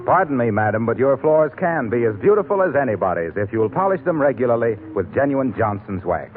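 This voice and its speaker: A man delivering polished, scripted announcements and sales pitches as a 1940s radio announcer, on a tinny old recording. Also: very animated, brisk, slight background noise.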